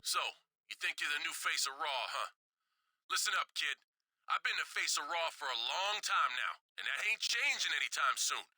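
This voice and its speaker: An actor playing an arrogant, territorial pro wrestler in a video game, trash-talking in a condescending, cocky way. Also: male voice, on the high side, animated, normal speed, bright, forceful tone, no echo, soft background music, full character voice. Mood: confrontational. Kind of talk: scripted video game cutscene trash talk.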